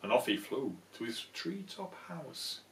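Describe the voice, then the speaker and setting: Slow, expressive older man reading a story. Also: medium pitch. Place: small room indoors full of soft toys. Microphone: camera a short distance away. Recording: roomy, distant microphone.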